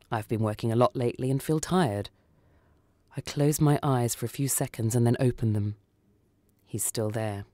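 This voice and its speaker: A man reads a first-person suspense story for radio. His tone is mellow and dark at a middle pitch, and the recording is close and dry. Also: no room sound. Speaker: story reader.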